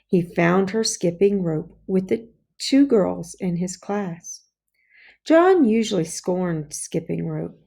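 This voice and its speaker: A woman's voice: lowish voice, lively intonation, slow, creaky, mellow. A woman reading a children's storybook aloud as scripted audiobook narration. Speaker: storybook reader.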